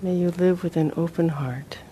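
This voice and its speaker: A woman leading a guided meditation as a teacher, speaking gently and in a measured way with long pauses. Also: on the low side, steady tone, slight background noise.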